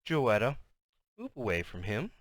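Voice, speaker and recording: A man voicing a furious, strict mother giving slow, stern commands in a menacing hiss in a storybook reading. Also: mid-range voice, huge pitch swings, dry recording, clean studio sound, voice pushed down. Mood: cold menace. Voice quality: hissing.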